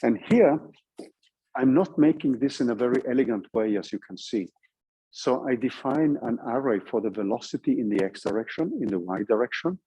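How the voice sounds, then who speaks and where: A male university lecturer with a Norwegian accent talks in an informal, explanatory way. His voice is mellow and rather husky, at a middling pitch. He walks through the material step by step, checking in as he goes. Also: rough recording. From Norway.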